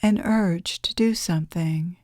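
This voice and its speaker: A woman leading a guided meditation in a gentle, soothing, unhurried manner, leaving long pauses between phrases. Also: lowish voice, no room sound, studio quality. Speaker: guided meditation leader, channeler.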